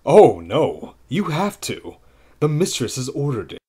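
A man's voice: mid-range voice, very animated, measured pace, dark tone, breathy edge. A man voicing a jovial, helpful talking wardrobe in an audio fairy-tale drama. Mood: helpful, fussing.

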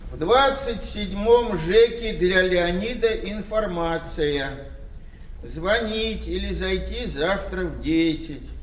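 Slow-spoken, high-pitched old village father. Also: animated. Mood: dutiful, literal. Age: older. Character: old widowed village father, meek, plain-spoken, slightly muddled. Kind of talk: Soviet radio play acting. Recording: roomy, distant, faintly noisy radio-play recording.

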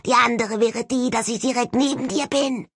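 A woman's voice: fairly high pitch, fairly even intonation, moderate pace, slightly rough, no echo, voice pushed up. A grumbling skull ghost from an audiobook, acted out by a woman, male, sardonic and easily offended. The delivery is sullen and mocking, with a slightly muffled edge and a close, dry sound. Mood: sly. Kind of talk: audiobook character voice.

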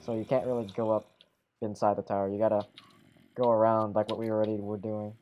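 A man's voice: fairly low pitch, flat delivery, highs cut off, a little hiss or hum. A man playing a video game with friends, rambling and correcting himself as he talks. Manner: rambling, self-correcting, quick exclamations. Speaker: game player. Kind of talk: casual gaming chat.

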